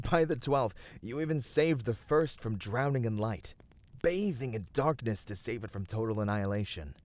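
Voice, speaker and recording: A man voicing a gentle, noble fantasy adventurer in an ASMR roleplay, speaking soothingly and earnestly in formal, old-fashioned phrasing. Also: mid-range voice, animated, normal speed, natural voice. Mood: admiring, earnest. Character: gentle, noble, reassuring male fantasy adventurer comforting a weary friend. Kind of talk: ASMR fantasy roleplay, scripted intimate voice acting.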